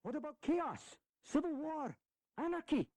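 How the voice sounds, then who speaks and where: A man's voice: extremely high pitch, very animated, unhurried, darkish tone, no echo, heavily acted. An actor playing an idealistic, indignant young congressman, earnest and protesting, in a historical audio drama.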